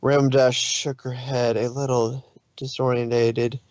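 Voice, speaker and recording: A man's voice: mid-range voice, level tone, unhurried, a little mellow, dry recording, lo-fi sound. A man narrating fan fiction in a dramatic storytelling way, sometimes stumbling over his words.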